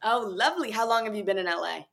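A young woman hosts a relaxed podcast interview. She is chatty and upbeat and laughs easily, with her voice sitting at an ordinary pitch for a woman. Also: quick pace, gravelly. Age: young adult. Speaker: podcast host. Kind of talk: casual interview chat.

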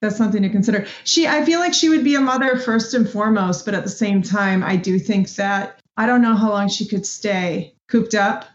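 A woman in a casual panel discussion, reasoning aloud in a thoughtful, measured way. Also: medium pitch. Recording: echoey room, dull lo-fi audio.

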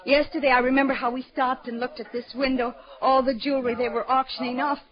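A distraught young wife, played by an actress in an old radio crime drama. Her voice is fairly high, halting and pleading, full of fright. The recording is dull and lo-fi. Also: little pitch movement, crowd noise, natural voice. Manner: halting, repeats words, pleading.

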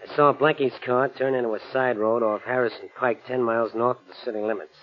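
Male actor as cocky gangster, rapid clipped delivery, slangy, smug, vintage radio drama, muffled sound. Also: medium pitch, steady tone, slight background noise, close to an everyday voice. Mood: falsely friendly. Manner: clipped, slangy tough-guy talk. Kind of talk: 1940s radio drama acting. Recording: muffled old-time radio recording.

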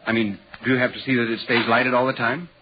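An actor playing a polite, inquisitive newspaper reporter recounting an eerie tale in dramatic 1940s radio style. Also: male voice, medium pitch, level tone, quick pace, natural voice. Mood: curious, polite. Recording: dull, hissy 1940s radio recording.

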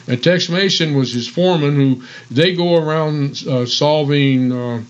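A man's voice: a little high, a little slow, highs cut off. A man co-hosting a radio show in casual conversation.

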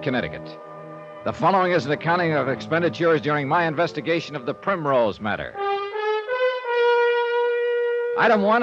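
Male actor for a tough, wry American insurance sleuth, 1950s radio drama, very mobile pitch, muffled old recording. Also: close to an everyday voice. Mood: crisp, businesslike narration.

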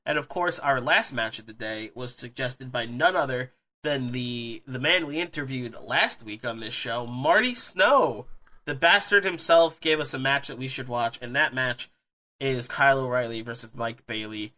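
A man's voice: medium pitch, normal speed, bright, forceful tone. A man hosting a casual podcast while sick with a cold, sniffly and with a scratchy throat, talking energetically with many fillers. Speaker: podcast host. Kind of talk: casual wrestling podcast chat.

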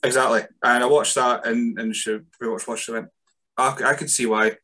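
A man's voice: medium pitch, fairly even intonation, normal speed, pushed and bright. A man from northeast Scotland hosting a podcast, chatting casually, laughing often and restarting his sentences. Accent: Scottish, northeast. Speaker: podcast host. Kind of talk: casual chat.

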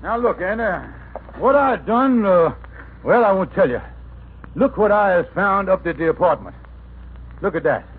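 An actor playing a blustery, wisecracking middle-aged husband with folksy, ungrammatical speech in an old radio sitcom. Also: male voice, high voice, animated, normal speed, pauses often, slightly rough, narrow, tinny audio. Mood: worried, confiding. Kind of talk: 1940s-50s radio sitcom acting. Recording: noisy, band-limited old radio recording.

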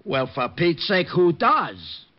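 An actor playing an excitable, dim-witted police sergeant in a vintage radio drama, flustered and slangy. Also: male voice, a little high, slow, full character voice. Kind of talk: 1940s-50s radio comedy-drama acting. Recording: dull old radio recording.

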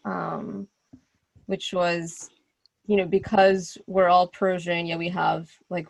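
A woman with an American accent talks casually as a podcast co-host. Her voice is lowish and heavily creaky, with a husky edge. She is informal and wordy, drifting into asides. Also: no room sound. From US West Coast.

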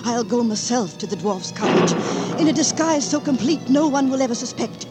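A woman's voice: fairly high pitch, lively intonation, moderate pace, close to an everyday voice. An actress playing a vain, wicked queen in a 1940s radio drama, imperious and theatrical. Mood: furious scheming. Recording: vintage radio recording under loud music.

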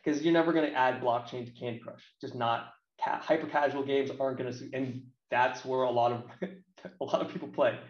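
A man's voice: medium pitch, fairly even intonation, a little fast. American man, thirties, mid-range voice, blunt colloquial talk, roomy echo, slightly dull sound. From United States. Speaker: interview guest, games marketing professional. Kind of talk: casual opinionated chat.

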